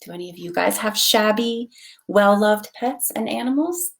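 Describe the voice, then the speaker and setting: A woman reads a children's story aloud in an expressive, dramatic storytelling manner. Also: medium pitch, normal speed, vocal fry. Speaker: volunteer storytime reader, library board member.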